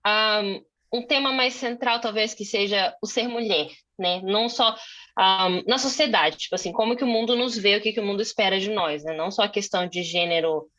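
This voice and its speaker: Young brazilian woman on a video call, bright voice, lots of fillers and restarts. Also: medium pitch, fairly quick. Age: young adult. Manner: chatty, thinks aloud with many fillers and restarts. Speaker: moderator. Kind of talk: casual conversation over video call.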